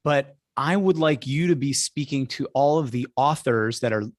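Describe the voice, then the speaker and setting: A man's voice: medium pitch, normal speed, dry recording, clean studio sound. A man hosting an interview in a casual, conversational style, telling anecdotes and putting questions to guests. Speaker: interview host.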